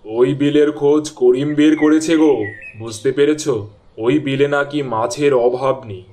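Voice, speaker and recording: A man playing an earnest, kindly village husband and fisherman in a fairy-tale cartoon, with an expressive, exclaiming delivery. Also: fairly high pitch, moderate pace, slight background noise, close to an everyday voice. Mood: easygoing.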